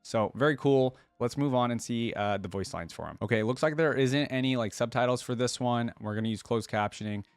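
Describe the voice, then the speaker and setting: A man's voice: mid-range voice, a little fast, few pauses, smooth, no room sound, faint music behind. A man hosting a reaction video, talking casually and rambling informally as he muses, close to the microphone.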